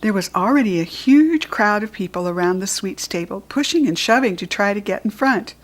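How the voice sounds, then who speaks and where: A woman's voice: mid-range voice, a little fast. Woman reading a children's storybook aloud. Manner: clear and expressive. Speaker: storybook reader.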